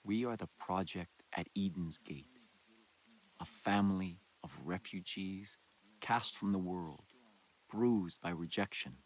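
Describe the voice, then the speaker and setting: A man playing a solemn, messianic doomsday cult leader in a video game, preaching in grave, deliberate, rhetorical monologues. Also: low voice. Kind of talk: dramatic sermon and broadcast monologue.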